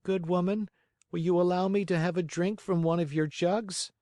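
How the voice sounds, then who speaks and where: A man voicing a whiny, eager marionette boy in a storybook, with a high childlike voice and pleading, dramatic delivery. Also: steady tone, normal speed, mellow, dry recording, clean studio sound, natural voice. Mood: polite, pleading. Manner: pleading, emphatic, exclaiming. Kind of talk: audiobook character acting.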